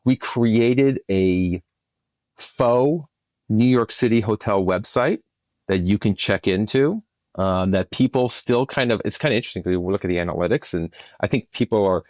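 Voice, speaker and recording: A man's voice: fairly low pitch, normal speed, a little mellow, no echo. A man, a producer and podcast guest, talking casually in an interview and explaining his work.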